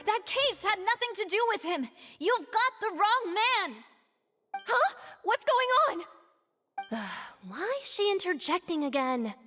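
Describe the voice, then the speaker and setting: A woman's voice: very high-pitched, very animated, takes it slowly, bright, forceful tone, big-room sound, soft background music, full character voice. An actress voicing a bold, defiant young lady in an anime game, assertive and theatrical. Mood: indignant.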